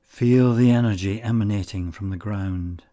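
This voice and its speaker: A man leading a guided meditation in calm, measured short phrases with long pauses. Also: lowish voice.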